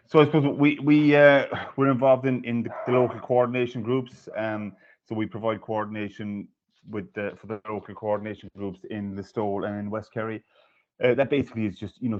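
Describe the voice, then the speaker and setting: A man describing local support work on a video call, matter-of-fact with frequent fillers. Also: fairly low pitch. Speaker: development company worker. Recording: muffled video call audio.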